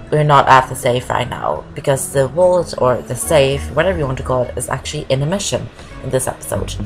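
A woman from Norway, not an English speaker, giving rambling casual commentary over a game walkthrough and saying "I guess" a lot. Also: very deep voice. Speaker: gameplay commentator. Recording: voice over loud game music.

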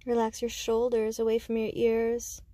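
Mellow, gentle woman guiding meditation. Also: fairly high pitch, monotone, no echo. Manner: gentle, unhurried, pausing between short phrases. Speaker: meditation guide. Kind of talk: guided meditation, slow soothing instruction.

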